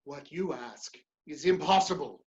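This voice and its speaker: A man playing a proud, cornered English gentleman politician in a dramatic reading of a period play, formal and stiff. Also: a little high, lively intonation, unhurried. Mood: cornered, defeated. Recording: dull, lo-fi microphone sound.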